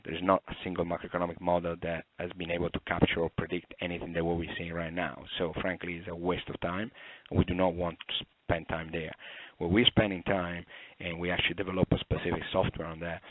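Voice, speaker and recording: A man presenting as a fund manager to investors on a conference call, explaining assertively with emphatic repetition. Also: deep voice. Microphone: conference call line. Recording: muffled phone line with roomy echo.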